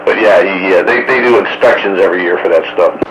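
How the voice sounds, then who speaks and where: Low-voiced man on static-filled radio. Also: fast. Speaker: radio hobbyist in a group conversation. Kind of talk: casual radio chat. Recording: noisy two-way radio audio.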